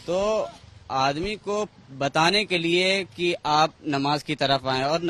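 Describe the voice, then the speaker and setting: A man's voice: mid-range voice, fairly bright tone, a little hiss or hum. A man answering in a street interview, explaining and arguing with rhetorical questions. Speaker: local resident interviewee. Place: on location in a local neighbourhood.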